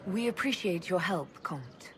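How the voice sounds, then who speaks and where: A woman's voice: fairly low pitch, expressive, unhurried, a little hiss or hum. A woman playing a direct, self-assured leader in a video game, in a plain-spoken manner. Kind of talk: video game cutscene acting.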